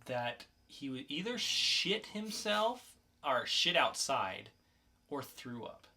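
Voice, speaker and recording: A man's voice: medium pitch, sing-song, takes it slowly, pushed and bright. A man co-hosting a podcast in casual banter, with a playful game-show announcer flair and some swearing. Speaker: podcast co-host.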